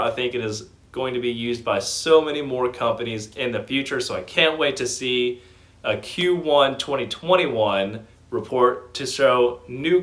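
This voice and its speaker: A man hosts an informal stock commentary in a mid-range voice. He speaks with clear enthusiasm and puts emphasis on his points, explaining things as he goes. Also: mid-range voice, a bit bright. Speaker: host of a stock commentary channel.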